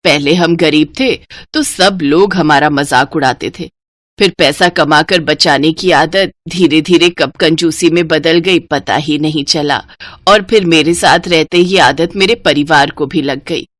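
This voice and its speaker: A woman's voice: low-pitched, measured pace, dry recording, pitched below the performer's own voice. A woman voicing a stingy, bossy mother-in-law in a cartoon story, commanding and emphatic. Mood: apologetic.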